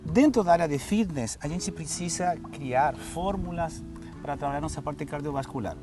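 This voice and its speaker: A man's voice: a little high, normal speed. Argentine man explaining fitness over music. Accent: Argentine Spanish. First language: Spanish. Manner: friendly, explanatory, ends phrases with "tá?". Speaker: fitness instructor. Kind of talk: TV studio interview and demonstration. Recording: TV studio with background music.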